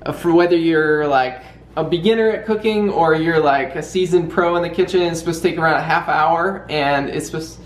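A man's voice: fairly high pitch, a little fast, slight background noise. A young man co-hosting a casual cooking vlog in his home kitchen, plainly explaining each step. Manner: easygoing, explaining steps plainly. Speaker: vlog co-host. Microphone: handheld camera.

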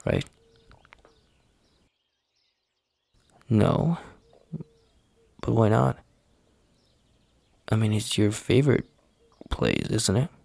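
A low, very gravelly young boyfriend, acted by a man in an ASMR roleplay. He talks slowly and haltingly, sounding tender, anxious and unsure. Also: huge pitch swings, no echo, exaggerated voice. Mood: pleading. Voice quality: husky, gravelly. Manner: halting, hesitant, soft-spoken, sighing.

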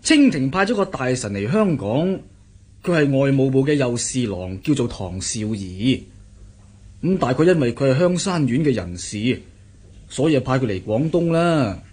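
Husky, animated male interpreter. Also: medium pitch, moderate pace. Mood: confiding, pleased. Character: self-assured, chatty male government interpreter, worldly confidant. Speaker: radio drama actor. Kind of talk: Cantonese radio drama acting.

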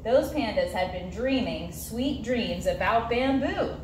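A woman in her thirties reading a children's picture book aloud in an animated, sing-song storytelling manner. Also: on the high side, slow. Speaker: zoo educator and story reader. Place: zoo viewing area beside glass habitat. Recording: roomy echo, light background noise.

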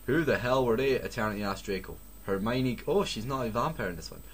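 A man's voice: medium pitch, animated, fairly quick, slightly rough. A Scottish man doing a mocking comic read-aloud with sarcastic, sweary asides. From Scotland. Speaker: co-host reading fan fiction aloud. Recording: noisy home recording.

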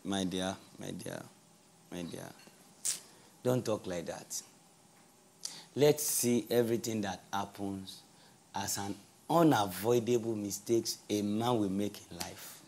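A man playing a slow, husky father. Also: on the low side, darkish tone. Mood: soothing. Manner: slow measured reassuring. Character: calm authoritative father settling son's fate.

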